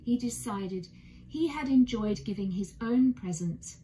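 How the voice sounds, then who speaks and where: A woman's voice: on the high side, animated, measured pace, dark tone, a little hiss or hum, rough recording. A woman narrating a children's bedtime story expressively, as a storyteller.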